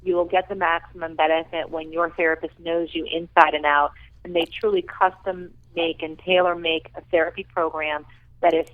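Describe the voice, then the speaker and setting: An enthusiastic woman speaking with a fairly low voice over a thin, tinny phone line. She talks earnestly, with many ums and small restarts. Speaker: rehabilitation director, guest. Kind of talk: radio interview.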